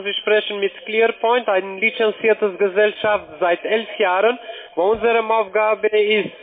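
Non-native male telesales agent, high voice, wordy and courteous, thin telephone audio. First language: not German. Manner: effusive, polite, wordy. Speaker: call centre sales agent. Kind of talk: unscripted phone sales pitch. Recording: tinny narrow phone-line sound.

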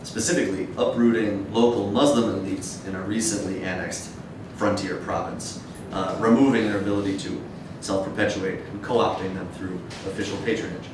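Echoey, low-voiced male lecturer. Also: fairly even intonation, moderate pace, few pauses. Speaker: academic lecturer. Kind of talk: academic lecture to teachers. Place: dim wood-shelved seminar room with audience, indoors. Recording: echoey room, distant mic, noisy rumble.

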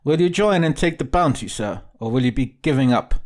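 Man voicing a sneering, commanding military captain, raised pitch. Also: lively intonation, a little fast, darkish tone, lightly acted. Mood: accusing, roaring. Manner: mocking, commanding, blustering. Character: sly, sneering military captain, harsh recruiting bully. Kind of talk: audiobook character dialogue.

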